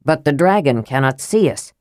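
A woman's voice: low voice, lively intonation, normal speed, a little mellow, no room sound, clean studio sound, voice pushed down. A woman voicing a stern, earnest male knight in an audiobook, terse and formal. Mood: serious. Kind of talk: audiobook character acting.

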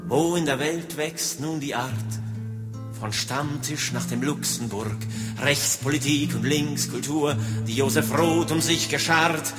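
A man performing political poetry between song and recitation, with solemn, deliberate, theatrical phrasing. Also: on the high side. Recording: long reverb, loud guitar accompaniment. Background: plucked guitar music.

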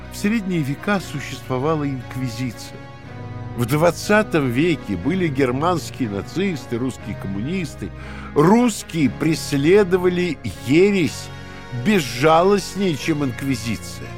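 An actor playing a coldly patient, menacing Party interrogator in a radio play, with a theatrical, deliberate, lecturing delivery that swings from hushed to shouting. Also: male voice, fairly high pitch, sing-song, music in the background. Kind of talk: dramatic radio play acting.

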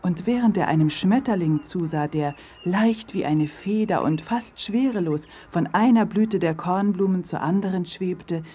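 A woman narrating a scripted story for children, addressing them directly as a measured storyteller. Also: lowish voice, mellow, breathy edge.

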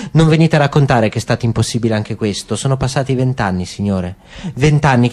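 A man's voice: mid-range voice, a little mellow, lightly acted. A man voicing an angry young man in a literary radio reading, speaking in a harsh, lecturing, reproachful way.

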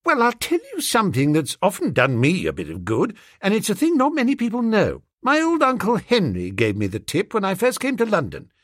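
A man voicing a flustered, bumbling young gentleman who stammers and dithers, in a storybook reading. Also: high voice, wildly swooping pitch, brisk, hardly any pauses, no echo, studio quality, pitched above the performer's own voice, heavily acted. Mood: chatty.

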